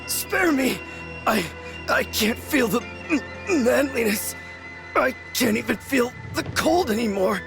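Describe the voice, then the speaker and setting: A man voicing a bombastic, halting, theatrical possessed swordsman in a video game, with a gravelly voice. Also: very high-pitched, lively intonation, heavily acted, rough recording. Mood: desperate, pleading. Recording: music behind the voice.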